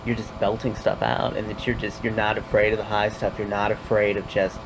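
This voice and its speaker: Man, journalist asking questions, fast and hesitant, lowish voice, hissy noisy tape. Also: flat delivery, creaky. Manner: quick and hesitant, with many "um"s and "you know"s. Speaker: journalist interviewer. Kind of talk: interview questions.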